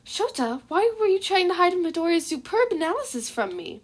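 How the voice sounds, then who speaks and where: A cheerful little male animal principal from an audiobook story, played by a woman. The voice is high and swooping, politely insistent and chirpy, with a scheming, fatherly streak. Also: very animated, a little fast. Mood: chirpy, eager. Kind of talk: fan fiction audiobook character voice.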